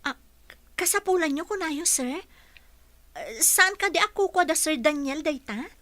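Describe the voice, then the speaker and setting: A woman's voice: sits high, animated, measured pace, sharp tone, no room sound, heavily acted. An actress with a Filipino Ilocano accent playing a polite, eager young woman seeking office work in a radio drama. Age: young adult. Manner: polite, deferential. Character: polite, eager young female job applicant and dutiful daughter.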